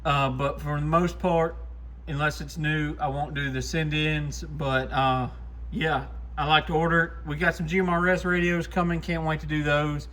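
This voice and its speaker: A man's voice: fairly high pitch, little pitch movement, normal speed, a bit bright. A man giving an informal, plain-spoken, hands-on demo as the host of a repair shop channel. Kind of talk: casual hands-on demo narration. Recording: clearly noisy shop recording.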